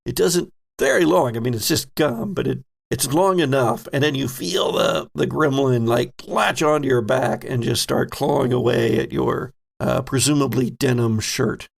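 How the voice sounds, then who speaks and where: A man's voice: medium pitch, moderate pace, gravelly, few pauses. A man narrating a tabletop horror game as game master, describing scenes vividly and addressing the players directly.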